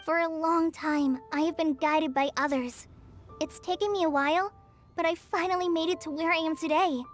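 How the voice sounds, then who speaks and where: A very high, youthful voice from an actress playing a shy, earnest young ranger in a video game, hesitant yet eager. Faint game music sits under the lines, and she jumps up in pitch when startled. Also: lightly acted. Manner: hesitant, sincere, excitable.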